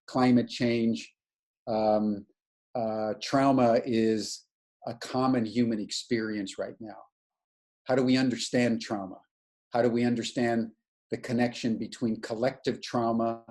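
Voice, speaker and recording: A man's voice: mid-range voice, darkish tone. A man giving an earnest, searching spiritual lecture over a video call, often restarting his sentences. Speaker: webinar lecturer.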